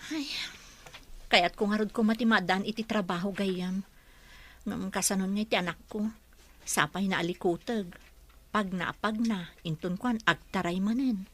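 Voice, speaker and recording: A woman's voice: medium pitch, moderate pace, long pauses, a bit bright, close to an everyday voice. A woman playing a struggling, sorrowful young mother in an Ilocano radio drama. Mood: worried.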